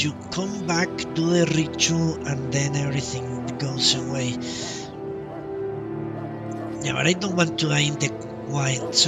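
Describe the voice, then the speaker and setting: Guy streaming a game, really slow halting talk, repeats himself, loud music behind. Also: on the high side, recorded from a distance. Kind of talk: casual live gameplay commentary with roleplay.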